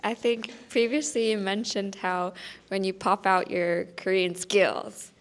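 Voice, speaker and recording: A woman's voice: medium pitch, steady tone, unhurried. A young woman speaking thoughtfully in a casual discussion on a student panel. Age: young adult.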